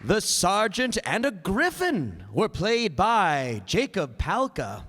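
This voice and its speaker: A man's voice: high-pitched, wildly swooping pitch, slow, a bit bright, some chatter behind. A man working as announcer and narrator, addressing a live audience from the stage. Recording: echoey hall, audience and faint noise.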